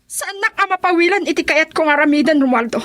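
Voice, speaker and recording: A defiant middle-aged Filipino mother, emotional and emphatic in every phrase. Her voice sits very high, and she delivers her words in the heightened style of a radio serial. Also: fast, a bit bright, no echo. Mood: defiant, annoyed. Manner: dramatic, emphatic. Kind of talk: Filipino radio drama acting.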